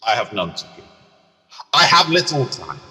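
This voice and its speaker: A tormented dead man's ghost in a radio play, drenched in long reverb. The delivery is declamatory and mournful, with drawn-out words and big sweeps of pitch. The tone is bright and forceful. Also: very animated. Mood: anguished, remorseful. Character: tormented wailing ghost of a dead male business partner. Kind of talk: amateur radio play acting.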